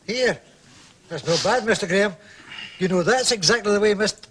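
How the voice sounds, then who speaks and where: A chatty local working man. He is deferential and gossipy, in a high voice, as in a radio play. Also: brisk, fairly bright tone, a little hiss or hum. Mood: cheerful. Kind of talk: radio drama acting.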